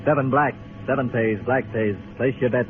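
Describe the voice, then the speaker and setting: An actor playing a jealous, hard, fearless crooked croupier in a radio western, curt and sardonic. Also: male voice, medium pitch, fairly even intonation, moderate pace, over music, natural voice. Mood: calling bets. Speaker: supporting actor. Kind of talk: old-time radio western acting. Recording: tinny, noisy old radio recording.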